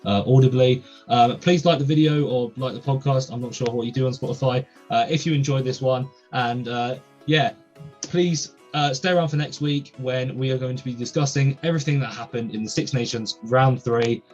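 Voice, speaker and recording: A man's voice: medium pitch, steady tone, moderate pace, darkish tone, lo-fi sound, faint music behind. A young man hosting a casual podcast, chatty and full of ums and uhs. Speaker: podcast host.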